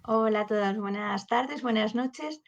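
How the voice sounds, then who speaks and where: A woman in her forties from southern Spain, chatting as a guest writer. Her voice sits fairly high, and she rambles along with small fillers. The audio is muffled, like a lo-fi video call. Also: measured pace. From Granada, Spain. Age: 40s. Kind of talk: casual panel talk.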